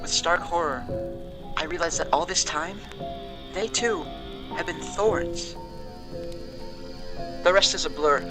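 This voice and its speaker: An actor playing a shaken, wary man who recounts a frightening experience in an audio drama, telling it with dramatic pauses. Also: fairly high pitch, lightly acted, low-quality recording. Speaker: interviewee. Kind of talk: audio drama monologue, interview testimony. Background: musical score.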